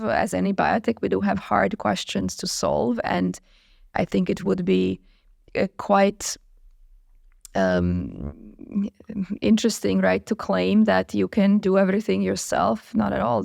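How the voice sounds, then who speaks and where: A woman's voice: on the low side, gravelly, a little mellow, no room sound, studio quality. A woman in her forties from Croatia, a biotech chief executive answering interview questions thoughtfully, with frequent fillers and restarts. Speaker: biotech CEO, guest.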